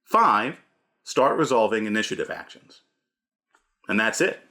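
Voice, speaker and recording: A man's voice: lowish voice, very animated, measured pace, pushed and bright. A man hosting a scripted tabletop gamemastering lesson, speaking in an emphatic, theatrical, punchy way. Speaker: host, game master giving advice.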